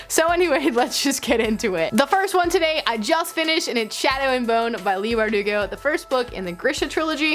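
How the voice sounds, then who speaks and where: A woman's voice: fairly high pitch, fairly bright tone. A young adult woman chatting casually to camera as a book vlog host, with informal emphasis and playful asides.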